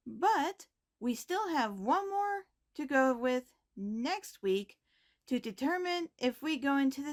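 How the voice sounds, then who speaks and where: A woman playing a poised, caring high school principal, giving scripted announcements in a measured, formal manner. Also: exaggerated voice. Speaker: host reading school announcements.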